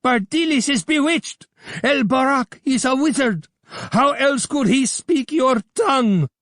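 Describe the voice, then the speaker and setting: A screeching, hateful villain. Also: male voice, very high voice, lively intonation, unhurried, a bit bright, no room sound, clean studio sound, pitched above the performer's own voice, full character voice. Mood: screeching accusation. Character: scheming male villain, shrill, hateful, frantic.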